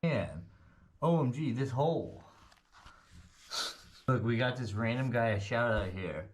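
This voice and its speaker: A man exploring an underground cave, talking calmly and wryly while reading notes aloud. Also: medium pitch, a little slow.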